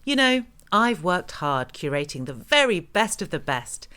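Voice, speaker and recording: A woman's voice: on the low side, big pitch swings, measured pace, pushed and bright, clean tone. A woman host giving scripted, theatrical narration between stories.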